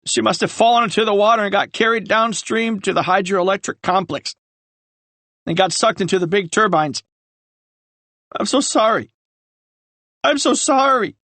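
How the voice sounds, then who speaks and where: A man voicing a guilt-ridden young man who confesses haltingly, on the edge of tears. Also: high-pitched, steady tone, fairly bright tone, no room sound, pitched above the performer's own voice. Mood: anguished. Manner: halting, breaking with remorse. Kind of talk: audiobook character reading.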